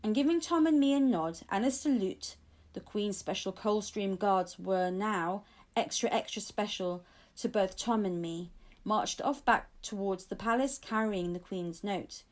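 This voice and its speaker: A woman's voice: mid-range voice, unhurried. A woman reading a children's story aloud as an audiobook narrator. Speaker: audiobook reader.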